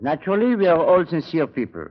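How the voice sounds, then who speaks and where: A man's voice: fairly high pitch, very animated, quick pace. A man playing a pompous, courtly French crook with a French accent and hesitant, mock-polite menace in vintage radio drama. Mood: oily, polite. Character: suave, menacing French crook, pompously proclaiming his sincerity. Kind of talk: 1940s-50s radio drama acting. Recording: muffled vintage radio broadcast.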